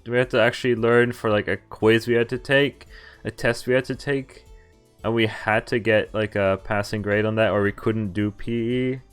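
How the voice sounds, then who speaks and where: A man's voice: mid-range voice, clean tone. A man doing a casual game playthrough, reading text aloud and breaking off for wry, jokey asides. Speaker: game streamer. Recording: music behind the voice. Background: visual novel game music.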